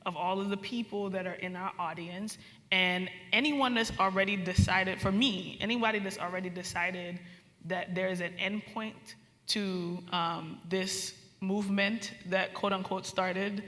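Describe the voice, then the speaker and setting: Bright-voiced woman in an echoey hall. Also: mid-range voice, fairly even intonation, unhurried. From born in Trinidad and Tobago, from Baltimore. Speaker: panelist. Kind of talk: panel discussion. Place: conference hall. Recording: echoey hall with long reverb.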